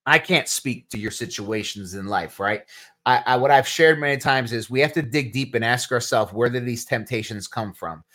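Man, new jersey accent, podcast host, casual live q&a, energetic, mid-pitched voice. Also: normal speed. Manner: casual, energetic, answers chat questions with restarts.

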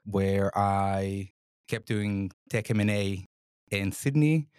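Flat-voiced man, matter-of-fact. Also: on the low side, clean tone, dry recording. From Israel. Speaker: venture fund CEO, guest. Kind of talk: interview answers.

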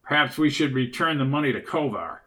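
Man playing a soft-spoken, calm crime associate. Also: medium pitch, brisk, distant mic. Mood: placating. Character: calm, resigned male criminal associate.

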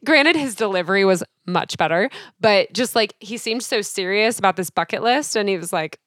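A young woman co-hosting a podcast, telling animated stories in casual chat and acting out the people she quotes. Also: medium pitch, moderate pace, no room sound, clean studio sound. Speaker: podcast co-host.

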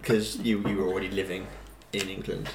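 A man co-hosting a casual podcast, talking in a measured, teasing way and repeating words as he thinks. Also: deep voice, flat delivery, reverberant, background noise. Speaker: podcast co-host. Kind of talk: casual chat.

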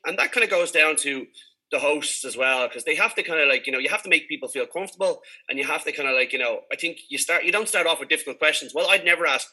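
A man with a Dublin accent talks at a brisk clip in a sharp, very bright voice. His delivery is informal and rambling, like a podcaster chatting casually in an interview. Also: a little high, rough recording. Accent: Dublin Irish. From Dublin, Ireland. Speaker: podcast host being interviewed.

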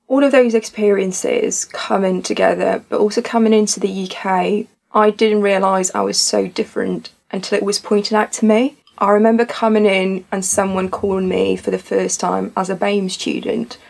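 A woman's voice: medium pitch, vocal fry, darkish tone, low-quality recording. A young woman from Tunisia, a student society founder, talking earnestly and reflectively in a podcast conversation.

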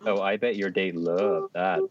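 A man's voice: sits low, steady tone, a little slow. A man co-hosting a podcast, chatting casually and teasingly in short remarks. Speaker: podcast co-host. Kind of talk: casual chat among friends. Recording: slightly dull, lo-fi microphone sound.

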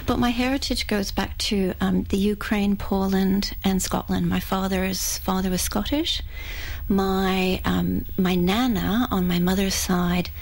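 A woman's voice: lowish voice, poor audio. Canadian woman writer on radio. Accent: mixed Canadian. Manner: thoughtful, restarts and fillers, friendly. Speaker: writer being interviewed. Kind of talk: radio interview. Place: radio studio.

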